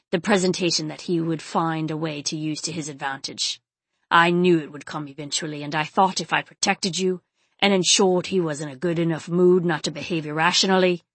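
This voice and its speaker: Woman as a low, grim, growling male enforcer. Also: vocal fry, fairly bright tone, slightly rough, no room sound, heavily acted. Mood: grave, regretful. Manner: grave, measured. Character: grim, protective male enforcer, restrained anger. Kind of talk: audiobook character acting.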